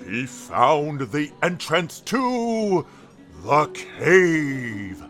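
An actor playing a theatrical tavern storyteller in a fantasy audio drama, grandiose and suspenseful, with drawn-out pauses. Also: male voice, fairly high pitch, sing-song, fairly bright tone, faint music behind, exaggerated voice. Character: theatrical tavern storyteller, a showman spinning heroic tales.

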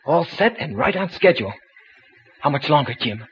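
An eager young sharpshooter, high slangy voice, old radio drama, muffled hissy audio. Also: male voice, big pitch swings, moderate pace. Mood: curious, alert. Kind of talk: 1940s radio adventure acting.

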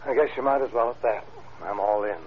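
An actor playing a weary, dryly gloomy small-town sheriff in an old-time radio crime drama. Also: male voice, mid-range voice, quick pace, natural voice. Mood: weary, grim. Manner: dry, grim, plain-spoken. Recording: muffled, hissy old radio recording.

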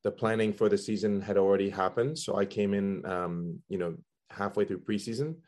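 A man's voice: fairly low pitch, fairly even intonation, normal speed, darkish tone. A middle-aged man from Belgium, a soccer executive, answering interview questions thoughtfully with frequent ums. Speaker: guest, soccer general manager.

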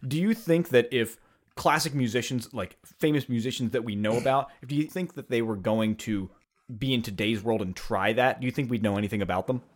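Fast-talking joking male co-host. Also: mid-range voice. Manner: joking, quick banter. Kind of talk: casual interview chat.

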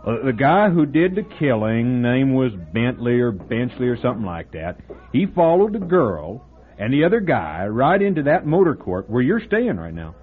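An actor playing a chatty, folksy small-town diner owner who relishes telling a gruesome local story, in old-time radio drama style. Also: male voice, mid-range voice, sing-song, moderate pace, breathy edge. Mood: gossipy. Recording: phone-like old radio broadcast with faint music.

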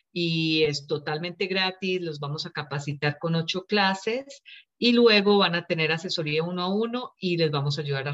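A woman's voice: low voice, dull audio. A woman, a business consultant answering interview questions earnestly, laughing easily. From Colombia. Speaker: small business consultant, guest.

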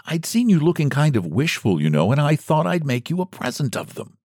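A kind-faced elderly storekeeper, voiced by a man reading aloud, who talks slowly and gently. The voice sits a little higher than the reader's own, with plenty of rise and fall, and the recording is dry and close. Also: very animated, no room sound. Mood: wondering. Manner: slow and gentle.

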